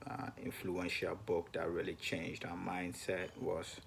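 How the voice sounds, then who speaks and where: A man's voice: sits low, monotone, measured pace, gravelly, slight background noise. A young man co-hosting a personal finance chat to camera, talking hesitantly with many ums and restarts. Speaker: co-host of a personal finance channel.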